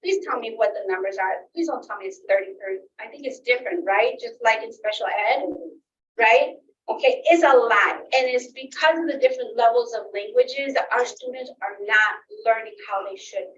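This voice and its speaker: A woman's voice: a little high, moderate pace, fairly bright tone. A woman giving impassioned public comment as a school staff member, emphatic and repeating her phrases to press the point. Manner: emphatic, repeats phrases, checks with right and okay. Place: indoor public meeting room. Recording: echoey meeting room, distant muffled mic, faint noise.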